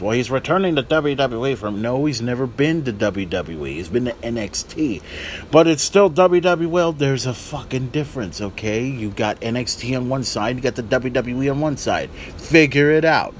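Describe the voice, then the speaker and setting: A man's voice: medium pitch, slight background noise. A man in his forties hosting a podcast, talking in a casual, rambling, emphatic way. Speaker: wrestling podcast host.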